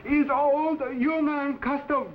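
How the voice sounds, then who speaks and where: Sly, obsequious innkeeper, stage Chinese accent, unhurried, thin vintage broadcast sound. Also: male voice, very high-pitched, fairly even intonation. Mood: fawning welcome. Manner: obsequious, stilted broken English. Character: fawning, sly Chinese innkeeper, exaggeratedly humble poisoner. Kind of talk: 1950s television adventure serial acting. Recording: thin phone-like old broadcast, clearly noisy.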